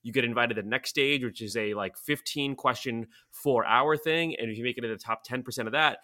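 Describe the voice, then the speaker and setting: A young man from Bulgaria, a startup founder explaining things in a casual interview, quick and full of fillers like "like" and "you know". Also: medium pitch, sharp tone.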